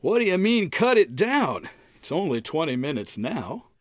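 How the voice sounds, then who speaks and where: Man playing a folksy husband with swooping pitch. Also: sing-song, normal speed, dry recording, studio quality. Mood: wisecracking. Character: folksy, exasperated, wisecracking husband. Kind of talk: old-time radio comedy acting.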